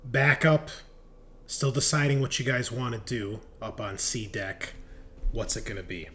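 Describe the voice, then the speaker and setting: A man's voice: mid-range voice, a little slow, pushed and bright. A male game master with a mid-range voice runs a tabletop roleplaying session. He moves between off-the-cuff scene description and calls on the rules. There is light noise in the background. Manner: improvised descriptive narration mixed with rules calls.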